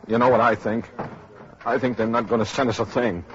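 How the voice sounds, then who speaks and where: A man's voice: medium pitch, fairly even intonation, brisk, a bit bright, natural voice. An actor playing a suspicious, grumbling working man with blunt, folksy speech in an old-time radio drama. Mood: suspicious, bitter. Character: suspicious, grumbling working-class male survivor. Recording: noisy muffled old radio recording.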